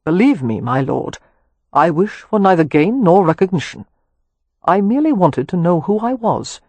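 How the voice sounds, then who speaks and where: A guarded young man, as an audiobook reader puts him on, calm and dryly ironic. The voice has a husky grain, a bit louder than the narration, and it sounds dry and close. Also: mid-range voice, normal speed, dry recording. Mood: wry. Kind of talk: audiobook character reading.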